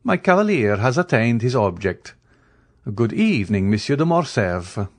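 A man reading the part of a calm, commanding count who speaks with cool dignity. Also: mid-range voice. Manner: measured, lofty, quietly authoritative.

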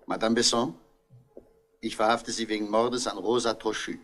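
A man's voice: on the low side, level tone, moderate pace, fairly bright tone, close to an everyday voice. A man playing a stern, accusing police inspector in a 1960s radio crime drama, giving clipped, authoritative commands.

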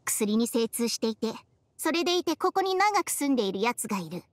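A woman's voice: fairly high pitch, sing-song, a little fast, dry recording. A woman voicing a proud, snippy ancient snake spirit in an anime game, blunt and scolding. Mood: sharp, suspicious. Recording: studio voice over background music.

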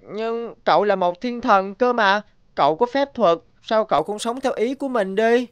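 A woman voicing a kind, thoughtful young schoolboy in an animated cartoon, earnest and musing. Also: medium pitch, moderate pace, clear, resonant tone, no room sound, lightly acted. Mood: curious. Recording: prominent music under the voice.

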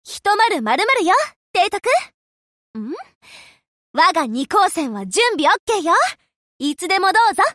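A woman's voice: very high voice, big pitch swings, moderate pace, pauses often, fairly bright tone, no room sound, clean studio sound, exaggerated voice. A woman voicing a cheerful, earnest young warship girl with a girlish, perky, sweetly familiar manner in short Japanese game lines. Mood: friendly, chatty.

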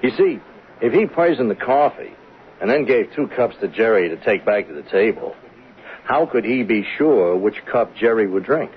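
An actor playing a patient, probing police lieutenant in a 1970s radio drama, with informal, unhurried questioning. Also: male voice, fairly low pitch, lively intonation, close to an everyday voice. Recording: dull, hissy old radio recording.

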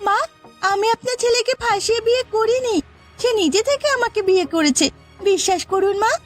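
A woman voicing a gentle young new bride in a cartoon story, speaking softly and pleadingly as a dutiful daughter-in-law. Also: very high voice, brisk, soft background music. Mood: hurt, pleading. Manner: soft, pleading, deferential. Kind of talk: cartoon story character acting.

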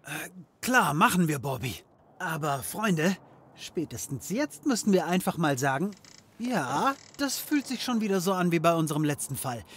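An actor playing a flippant, sarcastic private detective in a comedy audio drama, joking and theatrical. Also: male voice, a little high, big pitch swings, moderate pace, fairly bright tone, slight background noise. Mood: playful.

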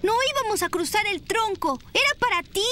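A woman with a Latin American Spanish accent voicing an earnest young dinosaur child in a cartoon dub, eager and expressive. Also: extremely high pitch, moderate pace, a little hiss or hum, exaggerated voice.

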